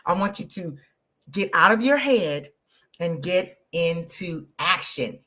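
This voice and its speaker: Energetic motivational woman in her fifties. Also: lowish voice, slow pace. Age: 50s. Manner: energetic, motivational, talks to viewers. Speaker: life coach hosting a live stream. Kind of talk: live social media stream.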